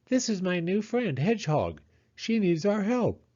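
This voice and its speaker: A man's voice: high voice, big pitch swings, unhurried, warm tone, muffled recording, voice pushed up, heavily acted. A man voicing a friendly, chatty female mole in a children's storybook, fussy and sociable. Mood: polite.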